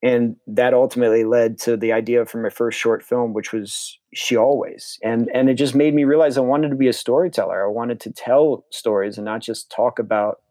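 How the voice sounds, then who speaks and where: A man's voice: medium pitch, steady tone, moderate pace, hardly any pauses, warm tone, no echo. A young man from Connecticut, a filmmaker being interviewed, talking casually and enthusiastically with frequent restarts. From Connecticut, USA. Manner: rambling, restarts often, enthusiastic. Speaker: filmmaker guest.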